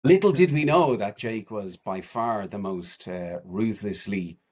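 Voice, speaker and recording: A man in his forties talks in a fairly low voice, loose and rambling. He often restarts his sentences and leans on fillers as he chats easily with the host. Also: unhurried, darkish tone. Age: 40s. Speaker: guest, charity walker. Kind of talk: casual podcast chat.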